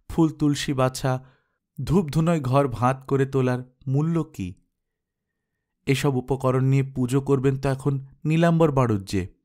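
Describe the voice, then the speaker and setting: A male audiobook narrator reads literary fiction with a dramatized delivery. His voice sits at a medium pitch as he tells the story. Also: darkish tone.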